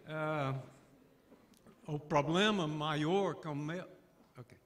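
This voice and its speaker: An older man from the southern United States, a native English speaker with an American accent, giving a conference lecture in halting speech full of "ah" pauses. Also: on the high side, fairly bright tone. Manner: halting, searching for words with frequent "ah" fillers.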